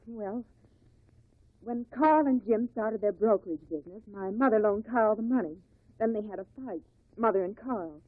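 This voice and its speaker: An actress playing a nervous, bitter widow who testifies in a hesitant, defensive way in a 1950s radio drama. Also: female voice, mid-range voice, moderate pace, no echo, close to an everyday voice. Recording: tinny, dry old radio recording.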